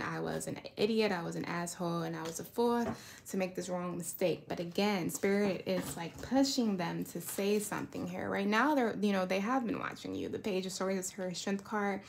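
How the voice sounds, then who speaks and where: A woman's voice: on the low side, vocal fry, darkish tone. A woman giving a casual, rambling tarot card reading in a conversational way, often checking in with the listener. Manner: conversational, rambling, checks in with "right?" and "you know". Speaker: tarot reader.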